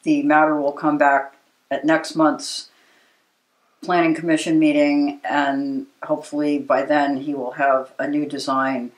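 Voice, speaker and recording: An American woman in her sixties explaining things in a measured way during a conversational interview as a local preservation advocate. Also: sits low, level tone. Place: living room with books, indoors. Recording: roomy, echoey living room.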